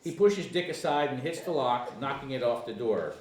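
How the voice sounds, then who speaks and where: Male narrator, screenplay table read, lively intonation, medium pitch, roomy echo, slightly noisy. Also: normal speed. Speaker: narrator reading stage directions. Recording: echoey room, distant mic, faint noise.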